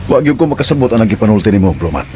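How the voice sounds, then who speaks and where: An actor playing a concerned, puzzled office boss in a radio drama, speaking conversationally with a Cebuano Filipino accent and some English. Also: male voice, on the low side, very animated, rapid-fire, over loud music. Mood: troubled, puzzled. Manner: earnest, conversational, code-switches into English.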